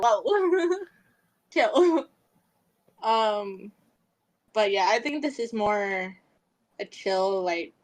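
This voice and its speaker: A woman's voice: mid-range voice, rough recording. Hesitant young woman with lots of fillers. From California. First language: Vietnamese. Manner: hesitant, many fillers, giggly. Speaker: podcast guest and streamer. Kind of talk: casual chat.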